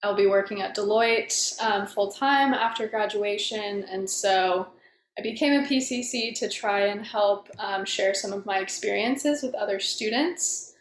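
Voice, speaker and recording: A woman's voice: mid-range voice, a little slow. Young woman, student moderator, upbeat, echoey room, faint background noise. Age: 20s. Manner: upbeat, casual, filler ums. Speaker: panel moderator, senior student. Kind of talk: moderating a panel.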